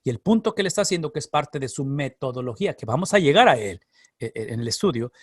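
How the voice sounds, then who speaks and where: A man's voice: mid-range voice, lively intonation, quick pace, no room sound, clean studio sound. A man who is a pastor and Bible teacher, answering class questions in an explanatory way, repeating phrases for emphasis. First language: Spanish.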